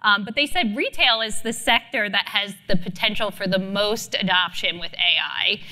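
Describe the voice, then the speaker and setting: A woman moderates a conference panel before a live audience, her voice bright and clear. She keeps an upbeat, brisk pace, dropping quick affirmations between speakers. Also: mid-range voice, low-quality recording.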